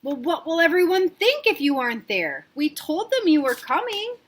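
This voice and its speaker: A woman reading aloud plays a coaxing adult from a picture book, gently persuasive. The voice sits somewhat high and turns firm when patience wears thin. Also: big pitch swings, moderate pace. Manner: soothing, then firm and exasperated. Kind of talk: storybook read-aloud.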